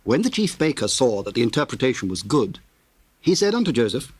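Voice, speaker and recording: A man narrating scripture in a solemn, measured, formal way, reading from a script. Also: mid-range voice, sing-song, slightly rough, no room sound.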